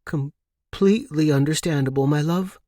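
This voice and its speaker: Man voicing a careful, higher-pitched young woman. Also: normal speed, warm tone, no echo, clean studio sound, lightly acted. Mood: soothing. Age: young adult. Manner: careful, polite, placating. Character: young woman, tactful, hesitant, soothing spirit medium.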